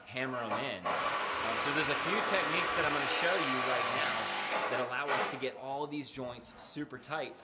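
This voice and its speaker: A middle-aged man with a North American accent explains a job like a working builder showing someone the ropes. His voice sits at an average pitch and his delivery is practical and plain-spoken. Loud noise runs behind him on an open building site. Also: moderate pace. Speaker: builder presenting a how-to. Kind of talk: informal instructional explanation.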